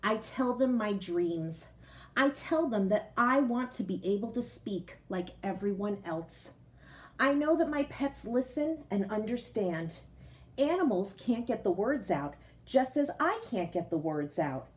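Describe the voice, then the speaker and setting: A woman's voice: medium pitch, expressive, a little slow. A woman with a mid-range voice reads and teaches for young children. She talks like a schoolteacher at storytime, putting things in simple terms and checking that her listeners are keeping up. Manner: explains simply, with frequent checking "okay?" and recaps. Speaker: teacher reading a picture book aloud to children. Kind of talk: explanatory read-aloud lesson for young children.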